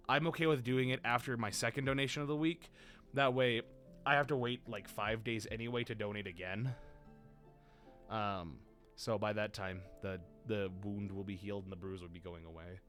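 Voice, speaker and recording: A young adult man hosting a casual livestream, tired and exhausted, rambling in a playful, self-mocking way, his voice deeper than usual today. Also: pushed and bright. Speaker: stream host.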